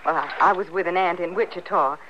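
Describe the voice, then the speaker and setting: Woman acting a young ranch woman, sharp bright voice, American, earnest, guarded, plainspoken, muffled radio drama. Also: fairly low pitch, fast, lightly acted. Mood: earnest, welcoming. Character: guarded but hospitable young frontier woman. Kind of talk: old-time radio western acting. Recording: muffled vintage radio recording with noise.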